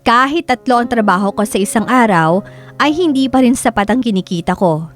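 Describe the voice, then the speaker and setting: A woman's voice: fairly high pitch, expressive, a little fast, natural voice. A woman playing an overworked breadwinner in her thirties, earnestly narrating her own life in a scripted drama. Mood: reflective, weary. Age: 30s. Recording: music under the voice.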